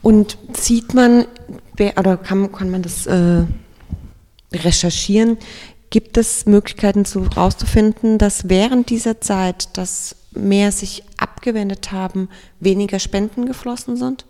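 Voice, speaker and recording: A woman with a mellow, warm voice asks curious questions in an easy, conversational way. Her voice carries a long reverb from the room. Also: medium pitch, fairly even intonation, measured pace. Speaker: interviewer. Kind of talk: interview questions.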